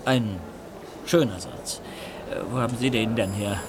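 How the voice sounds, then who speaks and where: A man's voice: lowish voice, a little slow, background noise, close to an everyday voice. An actor playing a self-assured male conductor who earnestly justifies himself, in a radio drama.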